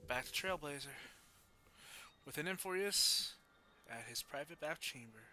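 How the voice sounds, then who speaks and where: A man's voice: a little high, expressive, a little slow, bright, forceful tone, slight background noise. A man giving casual live gameplay commentary as a streamer, muttering to himself and reacting to the game. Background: game music.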